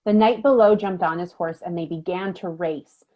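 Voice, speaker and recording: A woman's voice: on the low side, normal speed, lo-fi sound. A woman giving a dramatic storybook narration in lilting verse.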